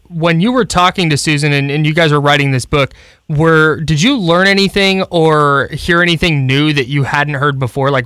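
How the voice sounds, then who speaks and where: A young American man with a bright, crisp voice co-hosts a sports radio show. He sounds fairly high for a man, chatting conversationally on a close studio mic. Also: no room sound. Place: small padded radio studio. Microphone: close studio broadcast mic.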